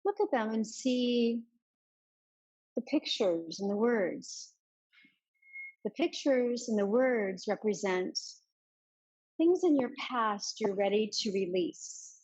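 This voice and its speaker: A woman leading a guided meditation as a yoga teacher, giving soft instructions with many pauses. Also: on the high side, expressive, dull audio.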